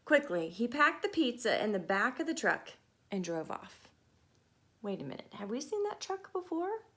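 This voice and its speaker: A woman storytime teacher reading a picture book to children, expressive and deliberate, with chatty asides and questions. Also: fairly high pitch.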